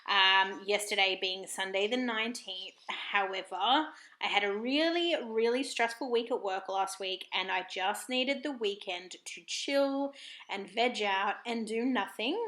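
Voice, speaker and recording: Husky, bright-voiced Australian woman. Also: medium pitch, a little slow, reverberant. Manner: chatty, rambling, apologetic. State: hoarse, throat croaky. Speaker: planner vlogger. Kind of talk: casual voiceover chat. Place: home.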